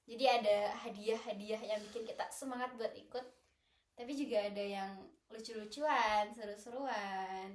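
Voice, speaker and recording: A woman's voice: fairly high pitch, steady tone, moderate pace. A young woman, chatting casually and playfully as a podcast co-host. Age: young adult. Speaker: student association podcast co-host. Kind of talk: casual group chat. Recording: roomy, echoey indoor recording.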